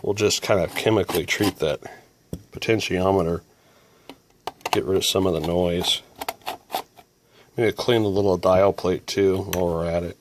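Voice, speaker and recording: Casual male hobbyist presenter with a lowish voice, talking through a hands-on repair as thoughts occur. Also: fairly even intonation, normal speed, long pauses, background noise. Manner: musing, thinking aloud.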